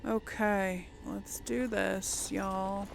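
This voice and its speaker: A woman hosting a casual let's play, thinking aloud in a folksy way with a creaky voice as she comments on the game. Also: medium pitch, little pitch movement, extremely slow, poor audio. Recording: faint background game noise.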